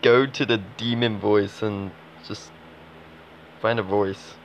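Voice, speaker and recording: A man giving casual, low-key live commentary as a gaming streamer, talking in fragments and mumbled asides. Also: fairly even intonation, slow. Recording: dull lo-fi audio, noisy background.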